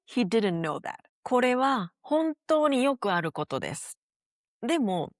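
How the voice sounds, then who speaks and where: A woman with a Japanese accent, a native Japanese speaker, presenting a scripted language lesson as host and teacher with clear, instructional phrasing. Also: a little high, no echo, clean studio sound.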